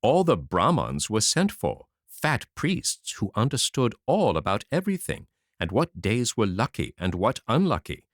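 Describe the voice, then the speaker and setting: A man narrating a fairy tale audiobook in a dramatic, expressive reading. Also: on the low side, a little slow, dry recording. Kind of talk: scripted storybook narration. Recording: dry close audiobook mic.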